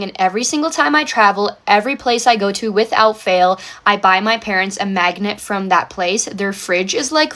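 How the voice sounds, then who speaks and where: A woman's voice: mid-range voice, sharp tone. Chatty young woman with an American accent. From South Africa. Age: 20s. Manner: chatty, upbeat, talking straight to viewers. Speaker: social media influencer. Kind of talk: get-ready-with-me vlog chat.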